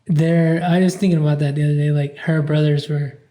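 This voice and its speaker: A man's voice: a little high, little pitch movement, a little fast, dark tone. A man hosting a podcast from his parents' house, talking in an easygoing way and repeating short phrases. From Texas. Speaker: podcast host. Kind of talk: casual podcast chat.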